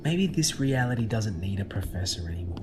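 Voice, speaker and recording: A man's voice: lowish voice, big pitch swings, fairly quick. An actor playing an earnest, thoughtful ordinary man in an audio drama, slightly hesitant in his delivery. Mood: pensive, stubborn. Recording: noisy ambience behind the voice.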